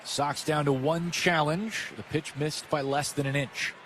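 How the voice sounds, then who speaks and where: A man's voice: a little high, steady tone, a little slow, a bit bright. A man with an American accent calling a baseball game live as a radio play-by-play announcer. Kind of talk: live radio sports commentary. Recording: clear crowd and ballpark noise behind. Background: outdoor ballpark ambience.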